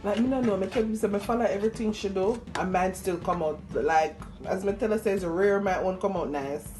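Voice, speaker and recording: A woman's voice: medium pitch, fairly quick. A young woman with a Jamaican accent hosts a casual cooking vlog, chatty and self-deprecating, slipping into patois. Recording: music playing behind the voice. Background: music.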